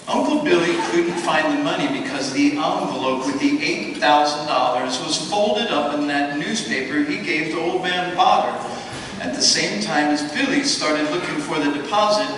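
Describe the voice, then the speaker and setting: An actor playing a solemn elder angel narrating in a measured way in a live radio play. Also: male voice, on the high side, fairly bright tone, slight background noise, natural voice. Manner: measured storytelling. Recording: echoey hall from far off.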